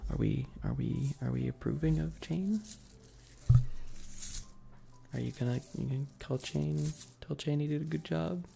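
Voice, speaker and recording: A woman's voice: low voice, moderate pace, vocal fry, warm tone. A woman chatting casually and playfully with viewers on an ASMR livestream, teasing and repeating her questions, close to the microphone.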